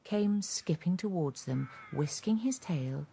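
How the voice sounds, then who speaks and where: A woman narrating a radio drama in a measured, scripted storytelling manner. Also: on the low side, lively intonation, creaky, mellow, a little hiss or hum.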